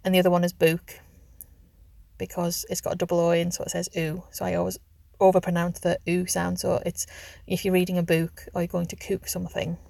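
A woman's voice: lowish voice, monotone, a little fast, vocal fry, warm tone. A woman from Northeast England with a Northeast English accent, chatting casually in a car with lots of hesitant ums. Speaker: vlogger doing an accent challenge. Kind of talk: casual chat. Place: sitting in a car.

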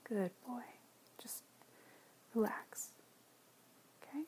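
A woman whispering softly in an ASMR roleplay, soothing and tender, with gentle pet names and quiet repeated reassurances. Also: fairly high pitch, takes it slowly, rough recording. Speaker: audio roleplay performer.

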